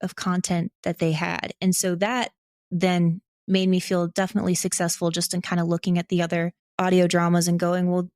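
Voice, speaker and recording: A woman's voice: lowish voice, little pitch movement, fairly quick, darkish tone, dry recording, clean studio sound. A woman talking casually and reflectively as an interview guest, candid and self-deprecating as she thinks aloud. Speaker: podcast guest, audio drama creator and actor.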